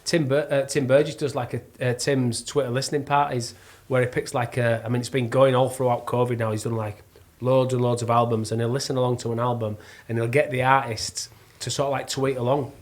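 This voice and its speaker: Quick-talking Northern English man. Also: medium pitch, little pitch movement. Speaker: actor and podcast guest. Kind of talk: casual chat.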